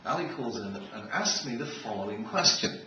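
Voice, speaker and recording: A middle-aged man speaks in public with a wry, animated delivery, telling anecdotes as a keynote speaker. His voice is heard from a distance in a very reverberant hall, with clear noise on the recording. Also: fairly low pitch, moderate pace. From Israel. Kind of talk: humorous public talk with anecdotes. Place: large room with an audience. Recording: echoey, noisy hall, distant microphone.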